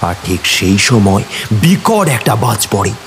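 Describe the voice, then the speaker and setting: A man narrating a horror audio story in a measured, suspenseful manner. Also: on the low side, big pitch swings, very noisy. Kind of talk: dramatic scripted narration. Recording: reverberant studio with clear music. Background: music.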